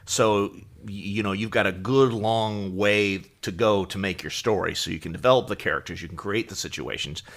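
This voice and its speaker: A man's voice: on the low side, moderate pace, hardly any pauses, fairly bright tone. A man co-hosting a podcast, explaining things in casual, agreeable and informative conversation. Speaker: podcast co-host.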